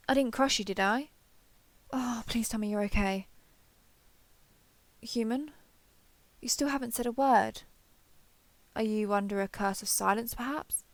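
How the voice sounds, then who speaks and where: Woman voicing a grand, creaky-voiced female dragon. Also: a little high, fairly bright tone, dry recording. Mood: alarmed, concerned. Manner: theatrical, imperious, formal wording. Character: grand, vain female dragon, menacing yet eager and socially clueless. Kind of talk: scripted audio roleplay addressed to listener.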